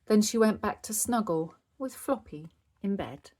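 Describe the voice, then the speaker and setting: A woman's voice: medium pitch, lively intonation, a little slow, a little mellow. A woman reading a rhyming children's story aloud with expression. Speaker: storybook reader.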